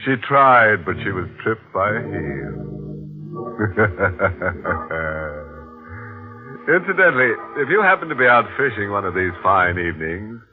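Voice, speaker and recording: An actor playing a sinister, wisecracking host of a 1940s radio mystery, sardonic and mock-genteel in delivery. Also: male voice, fairly low pitch, sing-song, takes it slowly. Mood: ghoulish amusement. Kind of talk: tongue-in-cheek 1940s radio horror hosting. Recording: old narrowband radio recording, faint music.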